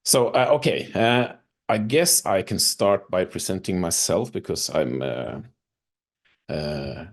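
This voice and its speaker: A man's voice: low-pitched, lively intonation, slow pace. A man from Norway with a Norwegian accent, a non-native English speaker, presenting over a video call with hesitant speech and many fillers.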